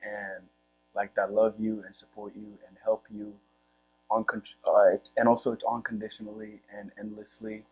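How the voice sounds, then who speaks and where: A teenage young man with a Congolese accent answering interview questions casually and haltingly, in informal speech. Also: on the low side, little pitch movement, darkish tone, smooth. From Democratic Republic or Republic of the Congo. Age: teens. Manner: halting, informal, leans heavily on "like". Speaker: high school football player being interviewed. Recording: muffled, with faint electrical hum.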